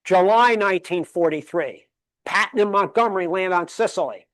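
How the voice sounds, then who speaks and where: A man's voice: high-pitched, moderate pace, a bit bright, no room sound. A man giving a history lecture at a public library, emphatic and fond of rhetorical questions, rattling off dates and figures. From Connecticut, USA. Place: library meeting room.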